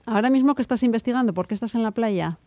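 A woman hosting a radio interview, speaking in a measured, formal way. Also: on the high side, warm tone, no echo, highs cut off. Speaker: radio host.

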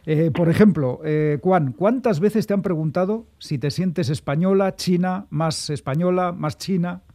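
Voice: medium pitch, unhurried, mellow. A radio host asking questions and moving the interview along.

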